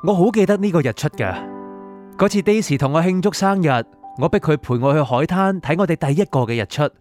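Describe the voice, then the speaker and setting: Guy playing a thoughtful young guy in the afterlife for a radio drama, highish expressive voice, soft music under. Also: a little fast, close to an everyday voice. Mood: nostalgic. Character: young man recently dead, reflective, at peace letting go. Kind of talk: Cantonese radio drama acting and first-person narration.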